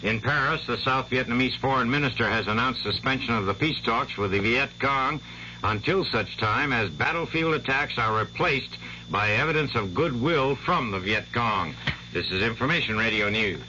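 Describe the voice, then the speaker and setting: Bright-voiced radio newsreader man. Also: medium pitch, moderate pace. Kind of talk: 1970s radio news bulletin. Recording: muffled old radio broadcast with hum.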